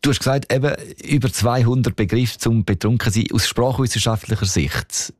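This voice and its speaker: A man with a Swiss German accent hosting a radio morning show in the studio, relaxed and conversational, chuckling now and then. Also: mid-range voice, normal speed, dry recording. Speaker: radio presenter.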